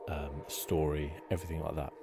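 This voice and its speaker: Very deep-voiced soft-spoken man. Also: level tone, brisk, gravelly, over loud music. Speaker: gaming video host. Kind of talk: ASMR game playthrough commentary.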